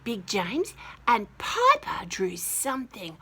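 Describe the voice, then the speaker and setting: A woman reads a picture book to little children at an unhurried pace. Her pitch moves up and down dramatically in a bright voice, and she chats casually to her young listeners, sometimes in a hushed tone. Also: huge pitch swings, slightly rough. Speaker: children's story reader. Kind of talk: animated picture-book read-aloud with casual asides.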